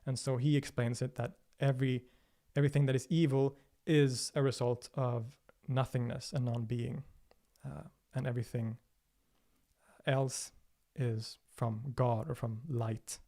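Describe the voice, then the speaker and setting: A man's voice: mid-range voice, fairly even intonation, a little slow, mellow. A man from Sweden whose first language is Swedish, hosting a livestream and answering viewer questions thoughtfully, with frequent fillers and restarts. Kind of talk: casual livestream Q&A.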